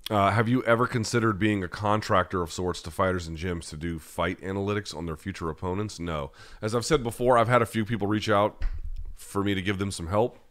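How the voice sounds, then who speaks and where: A man's voice: fairly low pitch, steady tone, brisk, a bit bright. An American man hosting a live-chat podcast, answering listener questions in a blunt, conversational, opinionated way. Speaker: podcast host.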